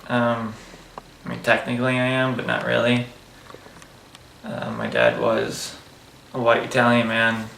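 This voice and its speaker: Young man, interviewee, gravelly, unhurried, echoey noisy room. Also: medium pitch. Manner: relaxed, hesitant, with fillers. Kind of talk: casual interview answers. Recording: echoey room with loud background noise.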